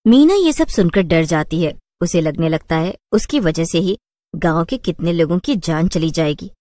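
A woman's voice: lowish voice, animated, normal speed, dark tone, no room sound, clean studio sound. A woman storyteller reading scripted narration for a children's cartoon tale.

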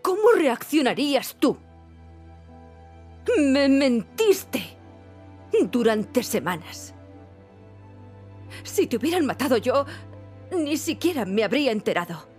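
An actress playing a hurt, sharp-tongued wife in her thirties in a dubbed game, sarcastic and reproachful, with a Castilian Spanish accent. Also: high-pitched, big pitch swings, slow, pauses often, soft background music, exaggerated voice. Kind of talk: dubbed video game cinematic acting.

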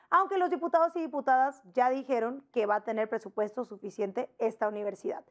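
A young Mexican woman with a Mexican Spanish accent presents scripted news in a TV studio, brisk with wry asides and rhetorical questions. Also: high voice. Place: large TV studio with video wall. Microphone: hidden lapel mic, full-body framing.

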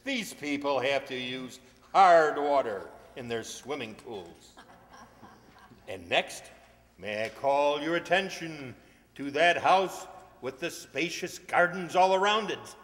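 An older man playing a bus tour guide, announcing sights in measured fashion in a radio comedy. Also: fairly high pitch, lively intonation, a bit bright. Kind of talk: old-time radio comedy acting. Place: amateur stage reading in a hall. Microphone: stand microphone. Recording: echoey stage in a large hall.

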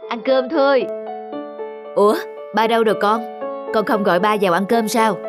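A woman voicing a young housewife and mother in a cartoon, brisk and mildly exasperated. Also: fairly high pitch, over music, natural voice. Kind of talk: cartoon dubbing.

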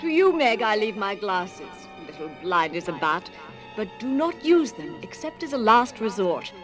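Woman as an animated, wise old otherworldly lady. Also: mid-range voice, moderate pace, close to an everyday voice. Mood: earnest, wise. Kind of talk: audio dramatization acting. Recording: faint music under the voice.

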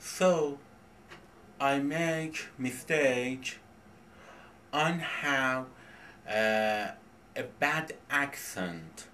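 Very slow, halting man with Persian accent. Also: mid-range voice, sharp tone. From Iran. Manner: halting, many pauses and fillers. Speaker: vlogger. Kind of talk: hesitant self-introduction. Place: home in Tehran. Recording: distant mic, faint background noise.

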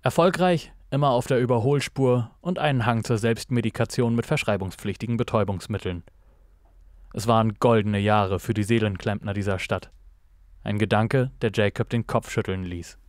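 A man's voice: on the low side, moderate pace, dry recording. Man narrating noir fiction, very dry sound. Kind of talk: scripted noir audio drama narration.

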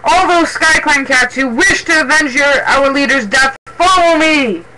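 A man voicing a proud, hot-headed male warrior cat leader in a storybook, yelling and spitting defiance. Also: very high-pitched, normal speed, noisy background, voice pushed up. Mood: rallying yell. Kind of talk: dramatic storybook character reading.

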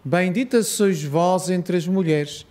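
A man with a European Portuguese accent leading a rosary, reciting solemn prayers in a measured, reverent way. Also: high voice, a little mellow.

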